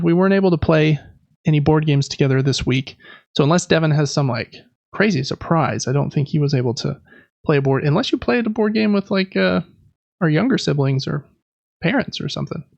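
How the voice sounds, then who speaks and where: A man in his thirties chats as a podcast co-host in an easy, explanatory way. His voice sits a little high with a creaky edge. The sound is dry and somewhat muffled. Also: brisk, dry recording. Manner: conversational, explanatory. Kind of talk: casual chat about board games.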